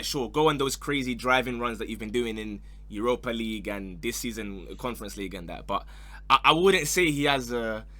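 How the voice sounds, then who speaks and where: A man's voice: medium pitch, pushed and bright. A man hosting a casual football panel, slangy and teasing in his delivery. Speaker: podcast host.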